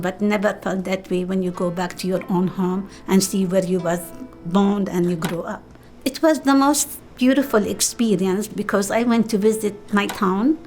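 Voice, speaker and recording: A woman's voice: medium pitch, darkish tone. A middle-aged woman from Lebanon with a Lebanese accent, Arabic her first language, speaking in an interview in simple, uneven English. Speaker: documentary interviewee.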